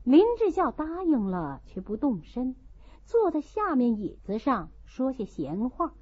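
Husky, high-pitched woman narrator. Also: big pitch swings, measured pace. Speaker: audiobook narrator. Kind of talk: scripted literary narration. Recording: dull lo-fi audio.

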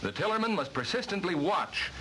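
A man's voice: sits high, very animated, brisk, sharp tone, slight background noise, poor audio. A man reading formal, precise instructional narration for a vintage training film, on a worn old soundtrack.

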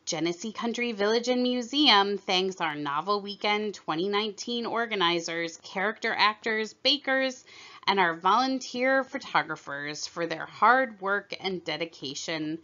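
Slow, bright-voiced woman reading aloud. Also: mid-range voice, dull audio. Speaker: storyteller reading aloud for a museum. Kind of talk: scripted children's story narration.

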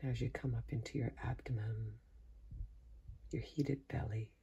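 A man softly guiding a sleep meditation in a hushed, breathy voice that often sinks into a whisper, slow and soothing with long pauses. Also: medium pitch, steady tone, muffled recording, a little hiss or hum. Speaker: meditation guide. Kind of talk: guided sleep meditation, soft-spoken.

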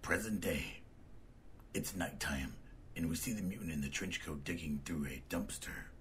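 Very deep-voiced male narrator. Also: animated, moderate pace, a bit bright, slightly rough. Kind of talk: scripted narration of comic panels.

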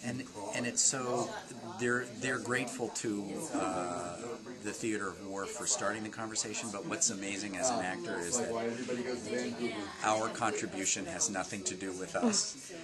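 A man's voice: on the low side, normal speed. A man in his fifties, an actor giving a casual, enthusiastic interview. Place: small meeting room, handheld camera. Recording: loud chatter behind the voice.

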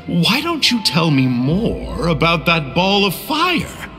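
Quaint mystery gentleman, old-fashioned phrasing, reverb, soft music. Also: a little high, wildly swooping pitch, takes it slowly, exaggerated voice. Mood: coaxing. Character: mysterious, quaintly polite bespectacled man. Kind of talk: game voice acting.

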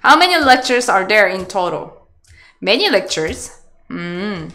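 A Korean woman in her thirties, a Go teacher answering chat questions on a webcam video call, chatty and halting in English with a Korean accent. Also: mid-range voice, sing-song, sharp tone. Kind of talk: live online lecture Q&A. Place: small room indoors.

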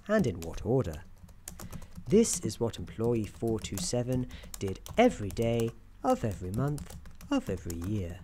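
A soft-spoken ASMR narrator of unclear sex telling a story in a gentle, deliberate, soothing way. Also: mid-range voice. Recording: keyboard clicks and noise behind. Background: computer keyboard typing.

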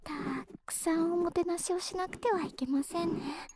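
A woman playing a gentle, doting older sister in an anime ASMR roleplay, speaking softly and breathily, often in a whisper. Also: very high voice, moderate pace, noisy background. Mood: soothing, doting.

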